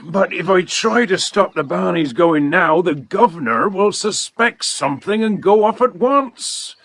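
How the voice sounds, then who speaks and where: An actor playing a gruff, commanding farmer with a rough gravelly voice, in an audiobook play. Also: male voice, sits high, lively intonation, unhurried, fairly bright tone, dry recording, full character voice. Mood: grave, worried. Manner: commanding, interrogating, emphatic. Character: gruff, forceful farmer secretly working with the police.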